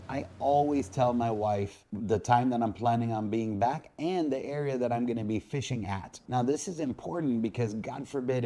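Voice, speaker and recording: A man narrating a scripted instructional video as host, explaining things in measured phrases. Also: medium pitch, mellow.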